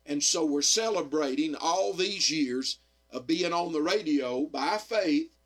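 A middle-aged man, slightly hoarse, preaching earnestly on radio with frequent amens and pauses. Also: on the high side. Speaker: radio evangelist and pastor. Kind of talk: radio sermon.

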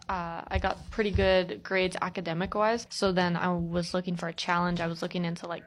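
Chatty young woman, interview guest. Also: fairly low pitch. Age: young adult. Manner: laughs often, chatty. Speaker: student guest. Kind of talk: casual interview chat.

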